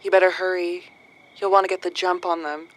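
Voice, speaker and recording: A woman's voice: fairly low pitch, level tone, fairly quick, fairly bright tone, close to an everyday voice, poor audio. A woman playing a brusque but caring commander of hired guns in an audio drama, dry, direct and conversational. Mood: concerned.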